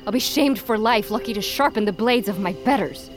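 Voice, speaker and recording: Fantasy audio drama: actress plays proud female underdog warrior, young, guarded, intense, often hushed, music bed. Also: medium pitch, a little fast, lightly acted. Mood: bitter, anxious.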